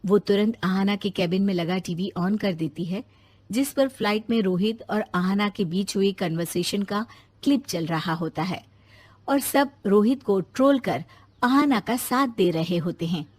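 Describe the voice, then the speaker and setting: A woman's voice: mid-range voice, normal speed, a little mellow, husky. A woman narrating a scripted moral story.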